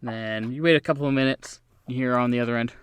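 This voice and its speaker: A man runs a tabletop game as game master, with a mid-pitched voice. He improvises scenes in a halting, pausing flow. There is some noise on his microphone. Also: fairly even intonation.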